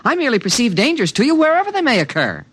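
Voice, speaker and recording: A man's voice: very high voice, wildly swooping pitch, racing, a bit bright, husky, no room sound, exaggerated voice. A man voicing an alien creature that protects a human, speaking in a precise, matter-of-fact, earnest way, in a 1950s radio drama. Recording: dry studio, background music.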